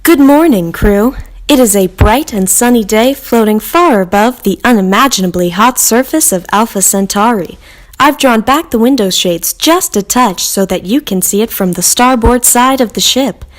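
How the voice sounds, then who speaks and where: A woman's voice: on the high side, lively intonation, normal speed, close to an everyday voice. A woman voicing a polite, cheerful spaceship computer making courteous, unbothered announcements in a sci-fi monologue. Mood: cheery. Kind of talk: scripted sci-fi character monologue.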